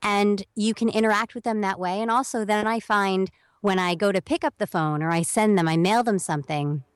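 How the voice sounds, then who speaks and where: A woman's voice: medium pitch, brisk, smooth, no room sound, studio quality. A young woman speaking as a guest on an interview podcast, explaining at length in a chatty way and acting out quoted messages. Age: young adult. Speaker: voiceover talent guest.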